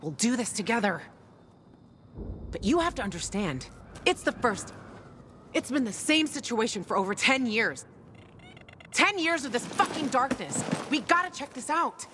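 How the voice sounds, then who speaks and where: A woman's voice: fairly high pitch, very animated, moderate pace, pauses often, background noise. An actress playing a tough, sardonic young woman guiding a newcomer, brash and teasing, in a game cutscene. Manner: brash, teasing, swears freely.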